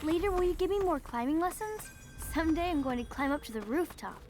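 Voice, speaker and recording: A very high, swooping little-girl voice for a video game. She is a playful, eager young princess, curious and earnest. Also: animated, fast talker, over music.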